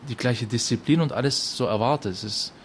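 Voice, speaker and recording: A man's voice: medium pitch, brisk, slight background noise. A man interviewed for a documentary, speaking in a reflective way and searching for his words.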